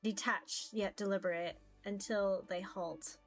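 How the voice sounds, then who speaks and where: A woman's voice: mid-range voice, fairly even intonation, a little slow, soft background music, rough recording. A woman streamer reading a visual novel aloud as narration and commenting casually as she plays.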